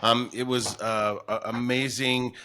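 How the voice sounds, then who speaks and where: Male podcast host, lower-mid pitch, very bright and punchy, casual conversational delivery. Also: level tone, unhurried, a little hiss or hum. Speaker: podcast host and voiceover performer. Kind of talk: casual chat.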